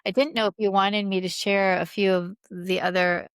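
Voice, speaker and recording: Female podcast guest, mid-pitched, earnest informal storyteller, dry studio-close sound. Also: level tone, normal speed, clean tone, dry recording. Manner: earnest, informal, frequent "like" and "right?". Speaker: podcast guest, spiritual healer. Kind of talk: conversational storytelling, reading out testimonials.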